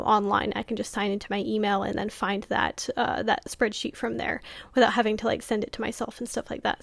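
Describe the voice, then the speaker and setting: A woman author talking casually to camera, full of fillers and small laughs, whose voice is going hoarse. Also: on the high side, a bit bright, no room sound, clean studio sound. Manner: chatty, many fillers, laughs often. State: losing her voice. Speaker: author vlogger.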